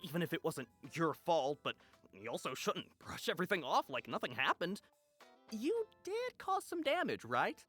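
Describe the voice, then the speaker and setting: Anxious, swooping little magical sidekick. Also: female voice, on the low side, huge pitch swings, moderate pace, a bit bright, soft background music, exaggerated voice. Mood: earnest, gentle. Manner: hesitant, pleading, stammering. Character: small male magical companion, anxious, earnest conscience. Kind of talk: voiced visual novel acting.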